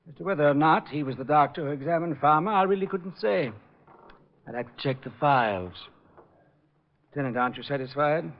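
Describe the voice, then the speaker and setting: An actor playing a proper, cooperative insurance executive in a 1940s radio drama, formal and measured. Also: male voice, on the high side, lightly acted. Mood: formal, concerned. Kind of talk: 1940s radio detective acting. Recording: dull vintage radio recording.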